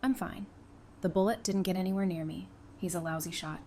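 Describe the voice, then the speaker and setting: An actress playing a brave, loyal, plain-spoken survivor in an audio drama. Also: female voice, fairly low pitch, a little fast, darkish tone. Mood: wry, unfazed. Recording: clear background noise.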